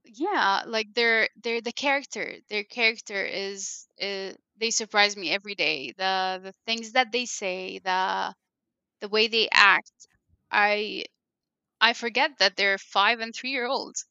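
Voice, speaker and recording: A woman speaks with a bright, clear and crisp tone. She rambles casually through her answers, restarting sentences and leaning on fillers. The audio is a little muffled and lo-fi, with a dry, close sound. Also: medium pitch, slow, no echo. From Egypt. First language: Egyptian Arabic. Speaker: guest, parenting consultant. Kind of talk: casual interview conversation.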